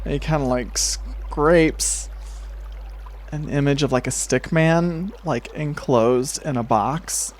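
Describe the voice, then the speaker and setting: A man narrating a tabletop game as game master, improvising in a measured, halting way. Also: a little high. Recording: steady noise behind the voice.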